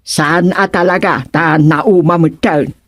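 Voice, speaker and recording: A woman's voice: on the low side, fairly even intonation, a little slow, no room sound, clean studio sound. A woman with an Ilocano accent acting a nagging, teasing older village wife in a radio comedy-drama.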